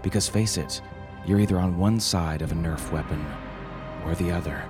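Guy podcast host, deep-ish voice, wry and theatrical scripted bits, music behind him. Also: moderate pace. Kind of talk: scripted comic news satire and fiction introductions.

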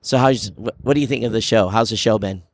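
Low-pitched man hosting a casual podcast. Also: fast talker, no room sound, clean studio sound. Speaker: podcast host. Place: booth in a very large trade show hall. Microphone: podcast microphone.

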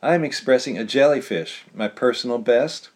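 A man's voice: medium pitch, normal speed, voice pushed up, natural voice. A man voicing a gleeful, boastful old man in a giddy, raised voice.

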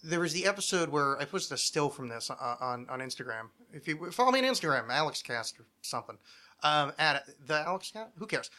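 Guy in his 30s podcasting, kinda high bright voice, rambles and swears a lot. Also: lively intonation, brisk. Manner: rambling, digressive, freely profane. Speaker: podcast host. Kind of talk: casual chat about television.

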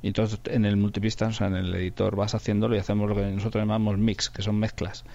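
A man's voice: fairly low pitch, little pitch movement, quick pace, vocal fry, mellow, muffled recording. A man with a Spain accent chatting colloquially as a radio drama sound producer, repeating phrases for emphasis. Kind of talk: casual conversational interview.

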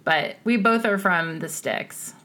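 A woman's voice: mid-range voice, slow pace, bright, forceful tone. A woman from the Midwest co-hosting a podcast in a casual, chatty way, talking from home over a laptop video call and laughing easily. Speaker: podcast co-host. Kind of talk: casual chat.

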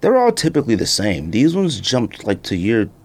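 Animated, slangy man chatting on a podcast. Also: medium pitch, moderate pace. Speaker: sneaker podcast host. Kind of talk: casual unscripted podcast chat.

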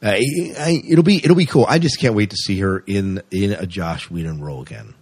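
A man's voice: on the low side, brisk, darkish tone, slightly rough, dry recording. A man hosting a podcast, chatting casually and enthusiastically through entertainment news. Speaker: podcast host.

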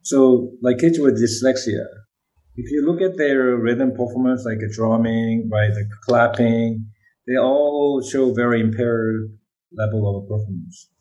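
A man's voice: medium pitch, unhurried, warm tone, muffled recording. A man whose first language is Korean, speaking English with a Korean accent, answering interview questions about brain research and often checking in with "right?". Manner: explanatory, often adds "right?".

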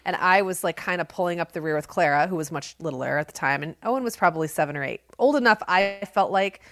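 A woman in her forties co-hosting a podcast, telling stories in a loud, animated, projecting voice. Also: lowish voice, fast talker, dry recording, studio quality. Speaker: podcast co-host. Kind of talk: casual chat.

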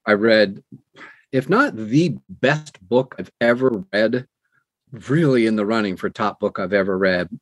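An older man who narrates audiobooks, talking conversationally in an interview with measured, emphatic phrasing and deliberate pauses. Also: fairly low pitch, fairly bright tone, no echo.

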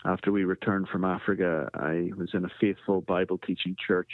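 A middle-aged man with a Northern Irish accent, a podcast guest telling his story in a measured, reflective way. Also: low voice, flat delivery, no room sound. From Northern Ireland. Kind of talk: interview conversation. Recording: tinny phone line.

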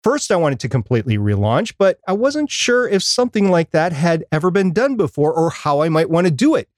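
A man co-hosting a podcast, talking and reading aloud in an animated, emphatic way. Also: fairly high pitch, a little fast, no echo, studio quality. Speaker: podcast co-host. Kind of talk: podcast talk and reading out a listener email.

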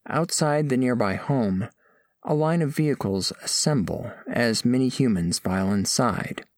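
Alien envoy narrator, gravelly edge, dry studio sound, formal, earnest, gently reverent, measured storytelling. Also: male voice, medium pitch, no echo. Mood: reflective. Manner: measured, formal, precise diction. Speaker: first-person narrator of a story. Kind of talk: scripted audio fiction narration.

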